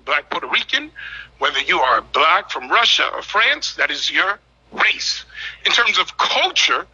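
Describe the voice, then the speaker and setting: A man speaking as mayor, addressing a city council meeting in a measured, formal and emphatic way. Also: on the high side, pushed and bright, breathy edge. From United States. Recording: dull lo-fi meeting audio.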